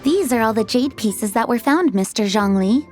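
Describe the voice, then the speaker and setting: A woman as high-voiced, gracious antiques dealer. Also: animated, moderate pace, music in the background, natural voice. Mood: earnest. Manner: polite, formal, courteous. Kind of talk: video game cutscene voice acting.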